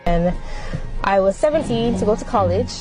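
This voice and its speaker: A woman telling her own story in a reflective, measured way. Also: mid-range voice, animated, music in the background, rough recording.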